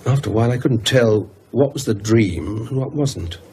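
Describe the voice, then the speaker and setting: A man's voice: medium pitch, moderate pace, warm tone, slight background noise, close to an everyday voice. A man playing an anxious, evasive English university academic in a radio drama, hesitant, stammering and apologetic. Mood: uneasy, weary.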